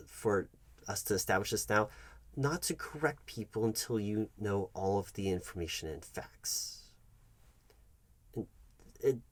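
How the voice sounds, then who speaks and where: A man's voice: mid-range voice, no echo. Man playing a pompous, swooping-voiced job interviewer. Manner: pompous, fussy, stammering and self-correcting. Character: pompous, bumbling male job interviewer, condescending and muddled. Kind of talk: comedic ASMR roleplay addressed to the listener.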